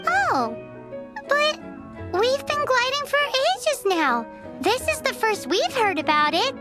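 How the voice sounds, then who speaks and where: A tiny squeaky game sidekick, childlike and blunt, super high, slow drawn-out words. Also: female voice, big pitch swings, music in the background, heavily acted. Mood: puzzled. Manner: speaks of herself in third person, squeaky, drawn-out. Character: tiny childlike female floating companion, cute and blunt. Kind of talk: cartoonish video game dialogue.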